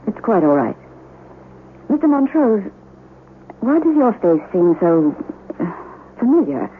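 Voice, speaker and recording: An actress playing a mysterious, genteel lady of a remote mansion in a 1970s radio drama, formal, measured and enigmatic. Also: mid-range voice, sing-song. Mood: cool, probing. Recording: tinny, hissy 1970s radio broadcast.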